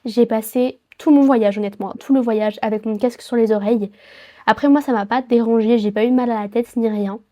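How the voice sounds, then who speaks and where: A woman's voice: fairly high pitch, steady tone, fairly quick, mellow. Sniffly young woman chatting to camera. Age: late teens. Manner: chatty, rambling, frequent fillers and restarts. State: sick with a head cold. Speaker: travel vlogger. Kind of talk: casual vlog chat to camera.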